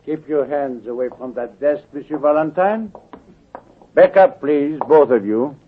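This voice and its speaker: A silky villain. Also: male voice, fairly high pitch, measured pace. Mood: menacing. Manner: silky, theatrical, coldly menacing. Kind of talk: 1940s radio acting. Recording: tinny old radio broadcast.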